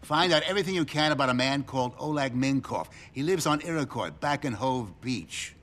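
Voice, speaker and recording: A middle-aged American government handler in a crime game, played by a man. His voice is forceful and bright, swinging widely in pitch as he delivers clipped, sardonic orders with smug cynicism. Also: lively intonation, fairly quick, close to an everyday voice. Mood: sardonic, commanding. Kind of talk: video game cutscene acting.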